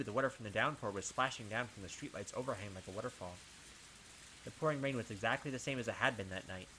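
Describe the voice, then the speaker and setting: Young male let's player, bright and pushy tone, dramatic game-text reading with excited asides. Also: mid-range voice, slight background noise, rough recording. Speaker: let's play gamer. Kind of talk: reading a visual novel aloud with commentary.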